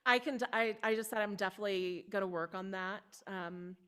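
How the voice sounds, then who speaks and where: A young woman, a singing student on a video call, speaking softly and hesitantly with many fillers. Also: mid-range voice, brisk, clean tone. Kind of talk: masterclass conversation.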